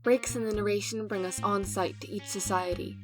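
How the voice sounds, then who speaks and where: A woman narrates a scripted trailer for a fiction podcast. Her voice is mid-pitched. Plucked guitar music plays clearly behind her. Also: steady tone, brisk.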